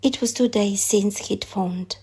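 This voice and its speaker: A woman narrating a children's story aloud in an expressive read-aloud style. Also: medium pitch, measured pace, husky.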